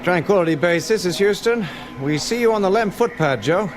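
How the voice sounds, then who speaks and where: A man playing a brisk astronaut on duty at mission control in a radio drama. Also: high voice, animated, over loud music. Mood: official, calm.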